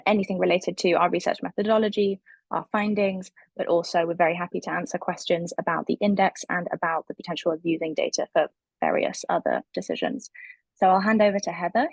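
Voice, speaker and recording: A woman with a husky, gravelly low voice hosts a webinar while losing her voice. She moderates in a friendly, easy way. The sound is dry and close. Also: brisk, no room sound, poor audio. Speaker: webinar host. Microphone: video call.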